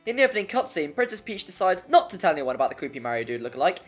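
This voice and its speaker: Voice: mid-range voice, sing-song, racing. A narrator reading a scripted video essay in an informal, jokey way with rambling casual asides. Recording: music under the voice. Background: background music.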